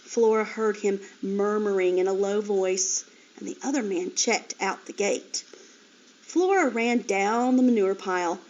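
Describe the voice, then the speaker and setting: A woman's voice: medium pitch, measured pace. A woman teacher reading a children's chapter book aloud with expression. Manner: expressive, animated. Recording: dull lo-fi recording.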